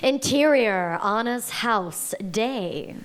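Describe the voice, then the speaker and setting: Brief: woman narrator for a table read, slow and slightly high. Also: animated, smooth. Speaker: narrator reading stage directions.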